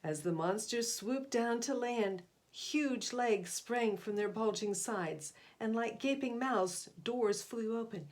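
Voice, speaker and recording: A woman's voice: a little high, slow, hardly any pauses, a little mellow. A woman reading a picture book aloud to children, stressing key words expressively and speaking aside to her listeners. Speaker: volunteer picture-book reader.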